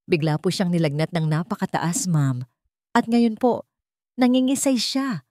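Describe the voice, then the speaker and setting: A woman's voice: on the low side, expressive, a little slow, warm tone, dry recording, clean studio sound. A woman voicing a worried, respectful daycare worker with urgent news in a dramatic audio story. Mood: urgent, alarmed.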